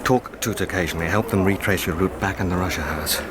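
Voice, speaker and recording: An actor playing a brisk young handler giving instructions in a radio drama. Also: male voice, sits low, heavy background noise, close to an everyday voice.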